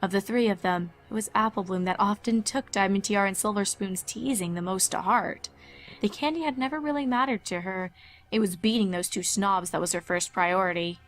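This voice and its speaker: A woman's voice: mid-range voice, fairly quick. A woman reading a scripted horror story as a dramatic narrator.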